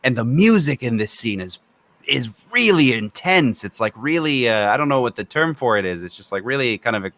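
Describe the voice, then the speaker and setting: A man's voice: mid-range voice, very animated, fairly quick, a bit bright. A man from Romania who co-hosts a film review podcast, talking casually, opinionated and freely swearing.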